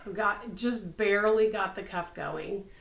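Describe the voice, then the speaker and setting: A woman in her fifties, slightly hoarse, hosting a casual craft podcast from her home craft room, upbeat and full of ums. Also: mid-range voice, measured pace, a little hiss or hum. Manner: chatty and upbeat, many ums. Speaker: podcast host, crochet designer. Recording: echoey room.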